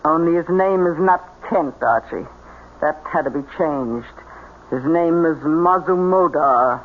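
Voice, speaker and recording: An actress playing a scheming, bossy female spirit medium in an old-time radio drama, with a commanding manner. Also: deep voice. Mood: scheming, brisk. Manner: commanding, slow hypnotic orders to her subject. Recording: noisy, tinny old radio broadcast.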